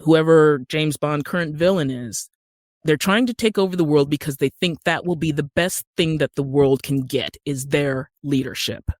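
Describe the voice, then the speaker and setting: A woman with an American accent, talking as an interview guest in a conversational, animated storytelling way that acts out bits of dialogue. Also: low voice, moderate pace, dry recording, clean studio sound. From Utah, United States. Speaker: guest, actor and screenwriter.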